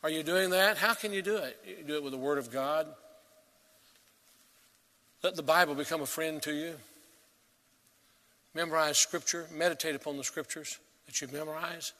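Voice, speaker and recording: A man preaching a sermon as pastor, earnest and emphatic, swelling to loud peaks and dropping to quiet. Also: on the high side, fairly even intonation, moderate pace, pauses often, big-room sound.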